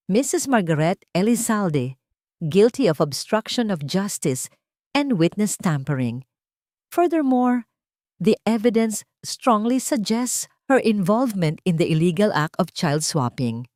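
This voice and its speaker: A woman voicing a firm, solemn judge who delivers a ruling in a formal, measured, authoritative way for an audiobook. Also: mid-range voice, sing-song, darkish tone, no echo, studio quality. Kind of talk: audiobook character dialogue in English.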